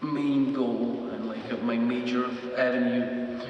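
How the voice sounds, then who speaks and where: A man with Russian as his first language, a journalist speaking as a panel guest, halting and full of restarts. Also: mid-range voice, fairly even intonation, muffled recording, slight background noise. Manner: halting, many restarts and fillers. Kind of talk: panel discussion. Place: large event hall. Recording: echoey hall from far off.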